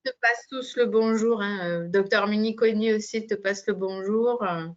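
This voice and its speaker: A woman's voice: mid-range voice, fairly even intonation, moderate pace. A woman hosting a webinar, speaking informally as she gives thanks and announcements. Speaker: webinar host for a medical device company. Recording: muffled, band-limited webinar audio.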